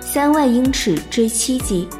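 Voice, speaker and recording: Female announcer, fairly high voice, scripted drama intro, clear music bed behind. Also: animated, a little slow.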